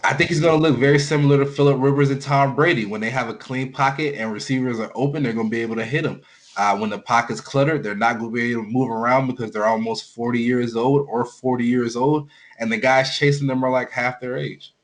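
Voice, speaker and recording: A man's voice: medium pitch, fairly even intonation, fast. A man co-hosting a sports talk show, giving casual analysis in a frank, blunt and informal way.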